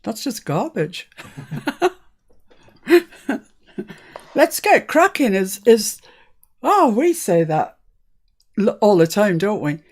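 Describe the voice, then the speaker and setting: A woman's voice: medium pitch, big pitch swings, slow, long pauses, husky. A woman born in Scotland hosting a live crafting stream, chatting casually and laughing often. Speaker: crafting channel host. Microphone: live-stream setup.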